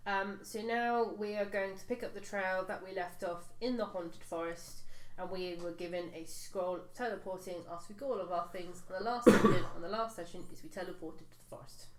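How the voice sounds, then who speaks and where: A woman's voice: medium pitch, steady tone, fairly quick. Woman recapping a tabletop game, echoey. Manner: recaps with many fillers and restarts. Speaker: player recapping the campaign. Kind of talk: casual chat around a tabletop game. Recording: echoey room, faint noise.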